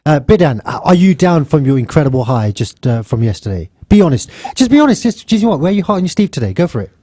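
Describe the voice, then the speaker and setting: A man's voice: on the high side, very animated, fast, warm tone, no echo, studio quality. A man hosting a casual radio sports chat, upbeat and teasing his co-hosts. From England. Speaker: radio show host. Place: radio studio.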